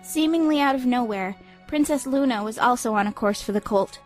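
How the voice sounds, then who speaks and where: A woman narrator reads a scripted story in dramatic audiobook style. Her voice sits slightly high, and music plays softly behind it. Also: a little fast.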